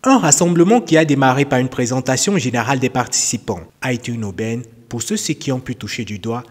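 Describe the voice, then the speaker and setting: A man's voice: medium pitch, animated, quick pace, big-room sound. A man reading scripted TV news narration as a reporter.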